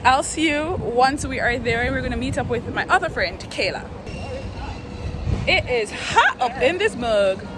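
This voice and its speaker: A young woman vlogging in a chatty, playful way, her voice a little high and bouncing all over. She teases her friends as she talks, with music playing loudly behind her. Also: lively intonation, moderate pace, crowd noise. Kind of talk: casual vlog chat.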